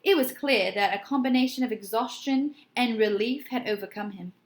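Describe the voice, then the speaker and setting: A woman's voice: a little high, normal speed. A woman reading a children's storybook aloud expressively, like a teacher putting questions to young listeners.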